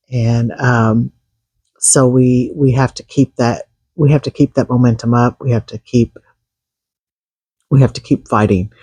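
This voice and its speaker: A man with a husky, froggy voice from a cold, speaking in a mellow, dark tone. He talks earnestly to his viewers, restarting his sentences often. The sound is dry and close. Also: mid-range voice, no echo. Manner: earnest, with frequent restarts and coughs. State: getting over a cold, froggy voice. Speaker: vlogger. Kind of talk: casual political commentary to camera.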